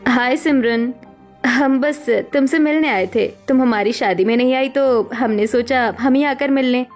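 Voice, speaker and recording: A woman plays a kind young daughter-in-law in a cartoon story. She speaks in a very high, caring voice, with light music underneath. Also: unhurried. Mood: friendly. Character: concerned, kindly young newlywed Indian daughter-in-law. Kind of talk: cartoon family drama voice acting.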